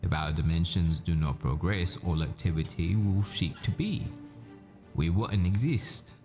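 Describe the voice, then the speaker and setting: A man plays a patient, absorbed male math professor in an audio roleplay. His voice is very deep and dark, and he teaches slowly, posing questions and leaving deliberate pauses. Soft music sits behind him. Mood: patient, explaining. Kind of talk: scripted roleplay, classroom lecture.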